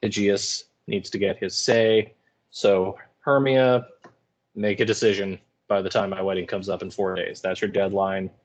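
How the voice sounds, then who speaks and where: A man's voice: sits low, flat delivery, unhurried, lo-fi sound. A man teaching in a casual lecture style, with informal, wry and colloquial paraphrasing. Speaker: high school English teacher.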